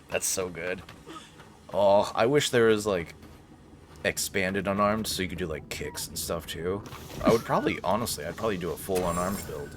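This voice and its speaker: A man's voice: low voice, normal speed. A man hosting a game playthrough with casual, joking commentary and playful mock-heroic asides. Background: video game sounds.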